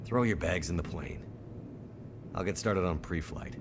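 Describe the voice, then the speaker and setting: An actor playing a guarded, bitter bush pilot in a game cutscene, talking in terse, clipped lines. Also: male voice, low-pitched, a little slow, background noise. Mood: heated. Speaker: game character. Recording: game cutscene over loud music.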